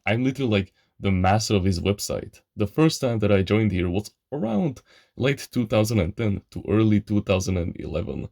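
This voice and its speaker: A man's voice: on the low side, gravelly, darkish tone, no echo, clean studio sound. A young man from Eastern Europe, a non-native English speaker, telling a personal story in a chatty, slangy way and calling the listener bro. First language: an Eastern European language. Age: young adult. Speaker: channel host. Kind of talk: casual storytelling monologue.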